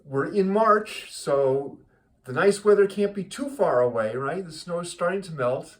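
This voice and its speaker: A man hosting a casual joke segment, speaking to listeners in a rambling, halting way and often repeating words as he goes. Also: high voice, expressive. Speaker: joke teller, host of a regular joke segment.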